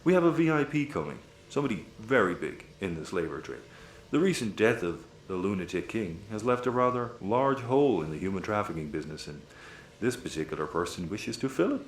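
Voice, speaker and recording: An actor playing a smug, theatrical crime boss in a sci-fi audio comedy, mock-polite and sardonic. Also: male voice, fairly low pitch, big pitch swings, brisk. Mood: smug explaining. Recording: echoey room, faint background noise.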